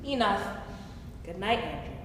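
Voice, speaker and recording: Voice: mid-range voice, big pitch swings, a little slow, a bit bright. Woman reading anxious, sarcastic Wes in a cavernous hall. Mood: teasing, affectionate. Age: young adult. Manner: dramatic, quippy. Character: Wes, anxious, sarcastic man cooped up at home, fond of his partner. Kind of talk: staged play reading. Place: staged reading in a large echoey room. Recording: echoey hall, far mic, faint noise.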